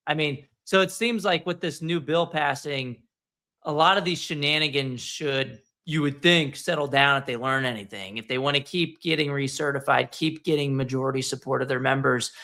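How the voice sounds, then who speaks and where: A man's voice: medium pitch, vocal fry, bright, forceful tone. A man hosting an interview, asking questions in a casual, chatty way with restarts and fillers. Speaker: interview host. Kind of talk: conversational interview.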